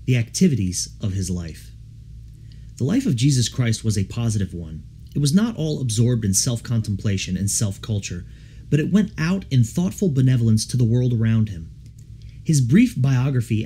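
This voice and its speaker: A man reads a devotional audiobook in a mellow, slightly husky voice of medium pitch. His delivery is measured and solemn, with lively rises and falls that give the scripted text a rhetorical shape. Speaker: audiobook reader. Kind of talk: scripted narration of a devotional book.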